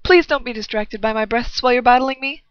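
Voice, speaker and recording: Casting: earnest, courteous cartoon demon woman, acted by a woman, fairly high, dull close mic. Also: fast talker, clear, resonant tone, no echo, natural voice. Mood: polite, eager. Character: polite, eager, self-conscious female demon bodyguard. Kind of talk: amateur anime parody dub.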